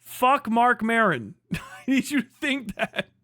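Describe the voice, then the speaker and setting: A man with a high, sharp voice rants casually as a livestream host, swearing freely and hammering points by repeating them. The sound is close and very dry, with a forceful edge to the delivery. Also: sing-song, a little slow, dry recording. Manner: profane, emphatic, repeats phrases for emphasis. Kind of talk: casual livestream commentary, comedic ranting.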